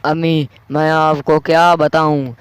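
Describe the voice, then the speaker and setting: Man acting cartoon rickshaw driver, humble, earnest, polite, slightly high, very smooth, close dry sound. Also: little pitch movement, takes it slowly. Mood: troubled. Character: honest, humble, poor young rickshaw driver. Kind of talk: cartoon story dialogue.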